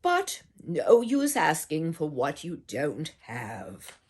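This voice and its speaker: Woman playing a wily, coaxing soldier, breathy and animated. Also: sits low, takes it slowly, heavily acted. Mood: coaxing, wistful. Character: wily male soldier, coaxing and mock-wistful.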